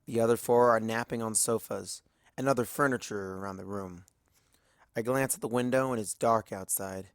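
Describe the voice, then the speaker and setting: A man narrating a fanfiction story in the first person, in a measured, storytelling way. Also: fairly low pitch, clear, resonant tone, no echo, studio quality. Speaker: audiobook reader.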